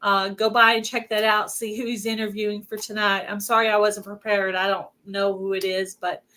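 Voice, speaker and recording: A woman's voice: medium pitch, level tone, moderate pace, sharp tone. A woman hosting a casual livestream chat, talking in a chatty way with lots of filler words. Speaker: host.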